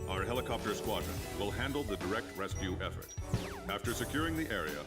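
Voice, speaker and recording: A man's voice: lowish voice, far from the mic, natural voice. A man acting a stern, businesslike military officer delivering a scripted mission briefing in a video game. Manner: formal, businesslike.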